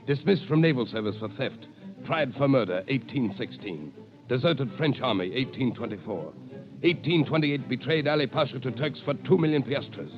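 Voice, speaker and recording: A man's voice: medium pitch, animated, moderate pace, natural voice. Clipped informant, played by a man, on muffled old radio. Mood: coolly factual. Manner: clipped, impersonal, report-like. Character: dutiful male informant coolly reciting crimes. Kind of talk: 1930s radio drama acting. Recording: muffled old radio broadcast, music behind.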